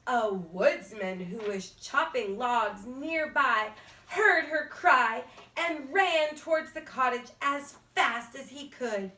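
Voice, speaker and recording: A woman's voice: high-pitched, expressive, slow pace, pushed and bright. A woman narrating a fairy tale for children as a storyteller. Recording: echoey room, distant from mic.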